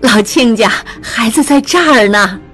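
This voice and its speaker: A gracious sister-in-law speaks courteously, as a woman plays her. Her pitch is lively and a little high. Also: measured pace, husky, faint music behind, exaggerated voice.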